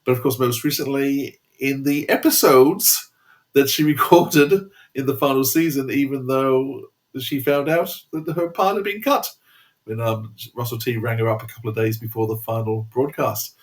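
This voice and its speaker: A man's voice: medium pitch, normal speed. An enthusiastic Australian man chatting casually as a podcast co-host, rambling along as he goes.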